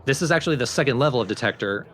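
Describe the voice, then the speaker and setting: A man's voice: medium pitch, lively intonation, very fast, fairly bright tone, a little hiss or hum. A man giving casual, explanatory commentary on a video game as he plays. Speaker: let's play commentator.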